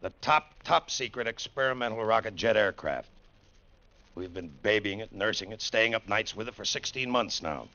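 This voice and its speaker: An actor playing an authoritative Air Force colonel in an old-time radio drama, briefing with clipped, emphatic repeats. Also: male voice, on the low side, animated, moderate pace, bright, forceful tone. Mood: commanding, emphatic. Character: gruff commanding middle-aged Air Force colonel, test-flight boss. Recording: muffled vintage radio recording.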